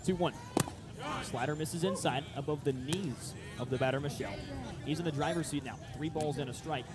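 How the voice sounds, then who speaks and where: Higher-voiced man calling live baseball. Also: a little fast. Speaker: baseball radio play-by-play announcer. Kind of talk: live baseball play-by-play commentary. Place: outdoor ballpark broadcast position.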